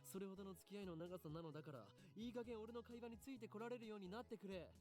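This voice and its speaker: An actor playing a self-important, impatient young amateur inventor in a visual novel, with a pompous, clipped delivery. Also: male voice, high voice, very fast, natural voice. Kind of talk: Japanese visual novel voice acting. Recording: game audio under music.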